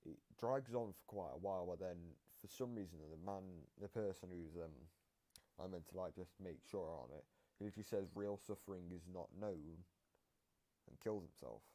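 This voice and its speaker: A man giving casual reaction commentary, explaining game lore in a halting, repetitive way. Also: low-pitched, warm tone. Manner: explanatory, halting, repeats himself.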